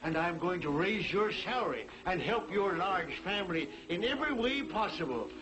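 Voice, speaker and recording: An actor in a 1940s television drama playing a reformed old miser giddy with joy, in a theatrical, exclamatory manner. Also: male voice, sits high, lively intonation, normal speed, poor audio. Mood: warm, generous. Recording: old 1940s TV soundtrack with music.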